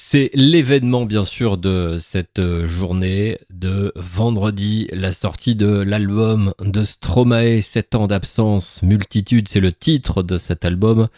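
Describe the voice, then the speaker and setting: A male radio host with a dark, mellow, fairly low voice. He introduces interview segments straight to the listeners, a little haltingly, in a very dry close sound. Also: no echo.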